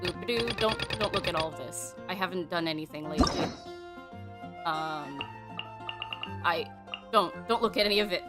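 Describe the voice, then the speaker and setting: A woman's voice: fairly low pitch, moderate pace, pauses often. A woman game streamer chatting casually and playfully on a livestream, scattered and self-deprecating. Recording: loud music behind voice. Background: music.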